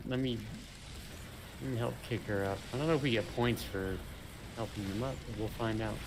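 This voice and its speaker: A man's voice: on the low side, fairly even intonation, fairly quick, noisy background. A man co-hosting a gaming video in casual chat, rambling as he thinks aloud and repeats his phrases.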